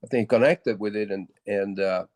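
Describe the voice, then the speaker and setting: An older man from Puerto Rico with a low voice speaks in a relaxed interview. He circles back and restarts his sentences often as he recalls old stories. The sound is dry and close. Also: no echo. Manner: halting, many restarts and fillers. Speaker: guest musician. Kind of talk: casual interview storytelling.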